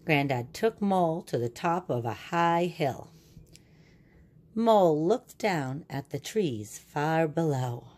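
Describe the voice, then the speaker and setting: A woman reading a children's picture book aloud and explaining it in a deliberate, teacherly way. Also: deep voice, expressive, darkish tone.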